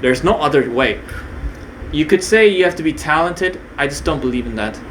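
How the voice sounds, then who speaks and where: A man's voice: fairly high pitch, animated, moderate pace, fairly bright tone, a little hiss or hum, low-quality recording. A young man from Korea, partly raised in New Zealand, talking off the cuff in a casual monologue, with many fillers and restarts. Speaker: video creator.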